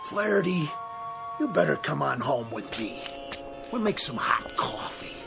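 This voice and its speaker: Courtly drunk old-style gentleman, creaky voice, swoopy delivery, radio drama, music under. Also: a little high, sing-song, unhurried, exaggerated voice. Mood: wondering. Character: courtly, tipsy gentleman, friendly and full of wonder. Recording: music behind the voice.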